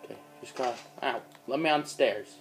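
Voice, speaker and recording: A man's voice: medium pitch, fast talker, soft background music, low-quality recording. A teenage boy casually commenting on his gameplay, yelping when he gets hurt, filmed by a phone in a dim room. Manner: excitable, yelps when in danger. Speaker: let's play host. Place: dim room in front of a screen.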